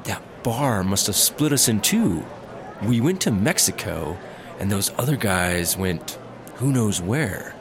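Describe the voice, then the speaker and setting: A man playing an excitable, goofy space cop in a sci-fi comedy audio drama, with a wide-eyed, exclaiming manner. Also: lowish voice, slow pace, over music, heavily acted. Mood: casual, pleased. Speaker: voice actor.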